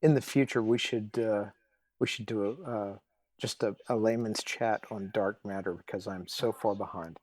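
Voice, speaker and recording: A man hosting a casual interview chat, speaking in a halting, bantering way. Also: fairly low pitch, darkish tone, dry recording. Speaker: interviewer, show host.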